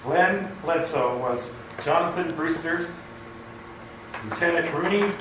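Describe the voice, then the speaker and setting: A man's voice: on the high side, slow pace. A man announcing credits in old-time radio style, recorded in a large echoey room. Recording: echoey stage, distant, loud hiss.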